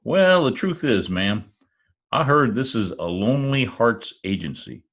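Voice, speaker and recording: Man putting on a folksy, bashful cowboy, swooping pitch. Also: very animated, measured pace, a bit bright. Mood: lonely, hinting. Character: bashful, folksy Oklahoma cowboy with oil money. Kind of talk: old-time radio comedy-drama acting.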